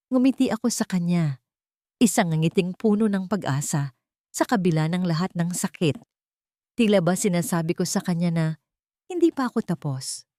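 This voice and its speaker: A woman's voice: fairly low pitch, a little mellow, no room sound, clean studio sound. A woman reading a scripted dramatic story as its narrator.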